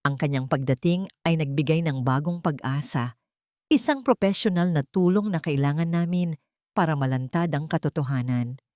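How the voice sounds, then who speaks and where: A woman's voice: sits low, normal speed, darkish tone, no echo, studio quality. A woman reading a scripted first-person drama story in an earnest, dramatic storytelling manner. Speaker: story narrator.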